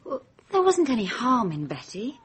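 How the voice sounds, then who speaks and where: Very husky, sharp-tongued British young woman. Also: fairly high pitch, big pitch swings, normal speed, full character voice. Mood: earnest, confiding. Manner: blunt, sardonic. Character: guarded, sharp-tongued, intelligent young woman, murder victim's sister. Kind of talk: radio drama acting.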